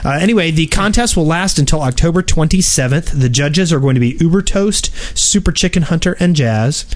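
A man's voice: on the high side, lively intonation, fairly quick. A man co-hosting a gaming podcast, joking and reading news in a showy voice. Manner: joking, reads announcements in a showy voice. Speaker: podcast co-host. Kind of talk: casual gaming podcast chat.

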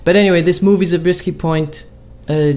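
A man's voice: a little high, steady tone, a little fast, darkish tone. A man co-hosting a casual chat, talking in a hesitant way with many fillers and restarts.

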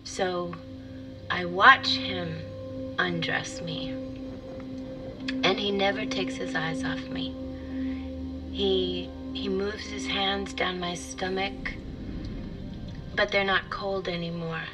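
An actress playing a wistful woman in an intimate audio drama monologue, confessional and halting, with a breathy delivery. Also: on the low side, fairly even intonation, over music. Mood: sensual, absorbed. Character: wistful, intimate divorced woman confiding a fantasy.

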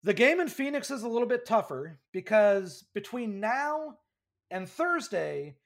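A young man co-hosting a casual sports podcast, chatty and animated, quick to laugh. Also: high voice, gravelly. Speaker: podcast co-host.

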